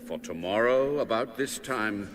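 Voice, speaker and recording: A man voicing God as a calm, commanding, solemn figure in a dramatised audio Bible. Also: lowish voice, measured pace, crowd noise.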